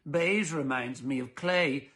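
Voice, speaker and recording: Guy putting on a bland careful picture-book dad, hesitant and deliberate. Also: medium pitch, a bit bright. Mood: cautious. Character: dull, timid, cautious picture-book father.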